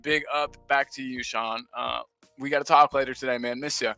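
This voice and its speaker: A man from the United States hosting a casual livestream, reading chat comments and giving upbeat shout-outs. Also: mid-range voice, fairly even intonation, moderate pace, gravelly, clear, resonant tone, dry recording, faint music behind. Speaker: livestream host.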